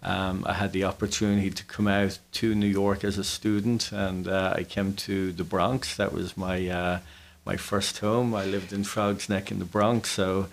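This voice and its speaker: A man in his forties with a Dublin Irish accent, recounting his own story casually as an interview guest, with hesitant pauses. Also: sits low, level tone, vocal fry. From Dublin, Ireland. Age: 40s. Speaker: interview guest, business owner.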